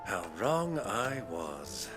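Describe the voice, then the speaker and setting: An actor playing a sardonic, grumbling older uncle in a video game, with a wry drawl. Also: male voice, lowish voice, very animated, extremely slow, heavily acted. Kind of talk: video game cutscene acting. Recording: music under the dialogue.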